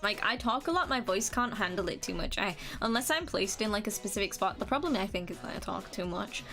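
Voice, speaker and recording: A woman's voice: medium pitch, animated, a little fast, fairly bright tone, faint music behind. A young woman, chatting casually on a live stream, rambling, restarting sentences and laughing now and then. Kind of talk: casual chat reading comments.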